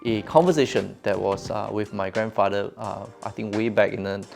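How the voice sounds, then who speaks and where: Man, middle-aged, Singaporean accent, lowish pitch, halting conversational delivery, interview guest, background music. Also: fairly even intonation, gravelly, darkish tone. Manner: halting, rambling, repeats phrases as he goes. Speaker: interview guest, crypto educator and entrepreneur. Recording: background music under speech.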